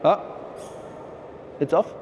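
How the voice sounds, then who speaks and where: A man giving a slide talk to an audience, speaking haltingly with many fillers and restarts and calling for the next slide. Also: a little mellow. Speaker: presenter at a philatelic society meeting. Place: meeting hall. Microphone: handheld or lapel mic. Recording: echoey hall, noisy room recording.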